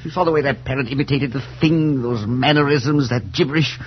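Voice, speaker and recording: A man's voice: medium pitch, fairly quick, slight background noise, lightly acted. Man acting a haunted professor, old dull radio. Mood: anguished revulsion. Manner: intense, clipped, theatrical. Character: tormented, insistent male professor, haunted and proud. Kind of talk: 1940s radio suspense acting. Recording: dull, humming 1940s radio recording.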